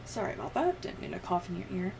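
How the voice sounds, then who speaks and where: Low-pitched woman rambling on a livestream. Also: very animated, fast, gravelly, over music. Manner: rambling, thinking out loud. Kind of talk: casual livestream chat.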